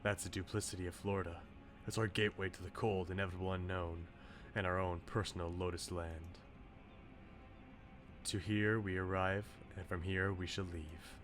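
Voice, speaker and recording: A man reading a brooding, self-sacrificing young man's inner thoughts from a visual novel, low and subdued. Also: flat delivery, moderate pace, fairly bright tone, faint music behind. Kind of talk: visual novel read-aloud.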